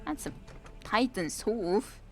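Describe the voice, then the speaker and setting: A woman's voice: medium pitch, lively intonation, takes it slowly. A woman with a Finnish accent giving casual, rambling commentary as she plays a video game, wry and chatting to her viewers. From Finland. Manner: rambling, wry, talks to herself and her chat. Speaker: streamer playing a video game. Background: game music.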